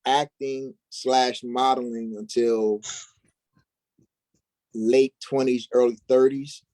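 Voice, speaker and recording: A man's voice: mid-range voice, little pitch movement, slow, a little mellow, low-quality recording. A middle-aged man being interviewed as an actor, telling personal stories in an informal, slangy way. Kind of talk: casual personal storytelling.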